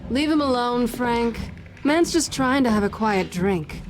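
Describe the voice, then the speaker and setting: A woman's voice: on the high side, expressive, unhurried, noisy background. An actress playing a tough, streetwise female thief in a video game, wry, cool and guarded. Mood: cool, irritated.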